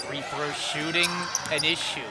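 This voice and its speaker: Guy doing live hoops play-by-play, slightly high voice, noisy gym behind him. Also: steady tone, measured pace. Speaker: play-by-play basketball announcer. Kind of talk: live sports commentary. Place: indoor basketball arena. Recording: clearly noisy gym broadcast. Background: gym noise, bouncing basketballs.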